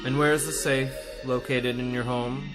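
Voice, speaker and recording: An actor playing a vain, scheming hypnotist therapist in a radio mystery, with a deliberate, soothing yet commanding manner. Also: male voice, medium pitch, flat delivery, over music, close to an everyday voice, low-quality recording. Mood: commanding, sinister. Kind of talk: radio mystery drama acting.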